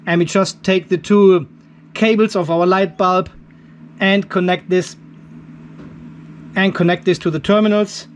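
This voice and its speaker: Middle aged guy w a pretty high voice, chatty diy demo in his garage, thinking out loud. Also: steady tone, measured pace, long pauses. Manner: informal, chatty, thinking aloud while working. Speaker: host of a DIY off-grid battery channel. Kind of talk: casual hands-on demonstration talk. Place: garage workshop.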